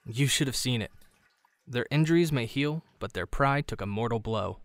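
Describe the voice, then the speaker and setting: A man playing a confident, wry teenage boy hero in an animated drama, speaking with an assured manner. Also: medium pitch, moderate pace, dry recording, close to an everyday voice.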